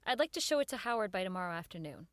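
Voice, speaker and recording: A woman's voice: fairly low pitch, sing-song, rapid-fire, fairly bright tone, dry recording, studio quality. An actress playing an earnest, nervous woman who works in network radio, in radio drama dialogue and narration. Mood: warm, polite.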